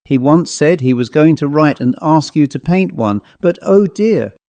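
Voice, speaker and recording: Man voicing a gentle, plaintive young woman. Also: on the high side, expressive, a little slow, dry recording. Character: sweet-faced young woman, gentle, plaintive, anxious about her father.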